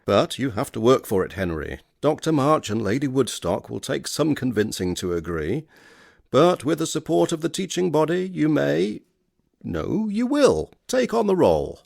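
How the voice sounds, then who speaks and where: A man plays an older Victorian chairman in a stage drama. His voice has a gravelly creak and moves widely in pitch. He sounds authoritative yet fatherly, choosing his words with formality. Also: big pitch swings, normal speed, a little mellow, no room sound, clean studio sound. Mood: encouraging, fatherly.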